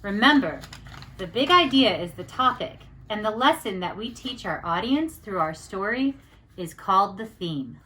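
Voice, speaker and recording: A woman teacher presenting a step-by-step lesson for children, with deliberate, encouraging delivery. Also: medium pitch, expressive, sharp tone, slight background noise. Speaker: teacher presenting an educational show.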